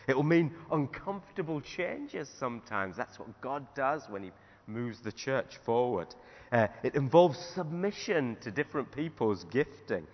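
A man, a pastor preaching a sermon in a croaky voice, often restarting his sentences. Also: mid-range voice. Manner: rambling with restarts. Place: church hall. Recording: dull sound in a reverberant hall.

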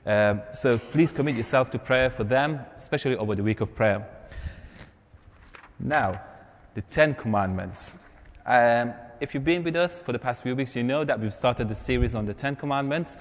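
A man's voice: mid-range voice, normal speed, a little hiss or hum. A man preaching as a pastor in a conversational, informal style to a congregation. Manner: informal, quizzing the listeners. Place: large reverberant church hall. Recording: long hall reverb.

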